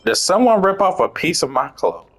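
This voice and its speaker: Animated, irreverent man reacting to a game. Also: sits high, normal speed. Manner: irreverent, profane. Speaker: gameplay streamer. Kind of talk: casual game commentary with slangy reactions. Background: faint game music.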